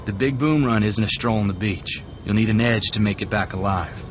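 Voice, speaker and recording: An actor playing a cocky, eager young gunslinger sidekick in a video game, folksy and plain-spoken. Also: male voice, lowish voice, a little fast, lightly acted. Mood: cautioning. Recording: clear music behind the voice.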